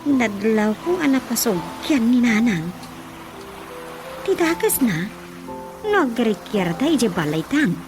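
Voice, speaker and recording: Slow, high-pitched Ilocano daughter, woman acting. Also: very animated. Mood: musing, quiet. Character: easygoing daughter of the household. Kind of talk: Ilocano radio drama comedy acting. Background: music.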